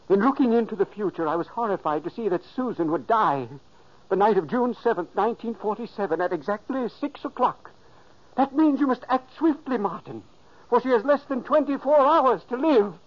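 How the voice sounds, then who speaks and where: A man's voice: high-pitched, lively intonation, normal speed. An actor playing the ghost of a dead older man, narrating earnestly and pleading to warn his brother, in an old radio play. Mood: urgent. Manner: urgent, pleading. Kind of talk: 1940s radio acting. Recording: dull old radio recording.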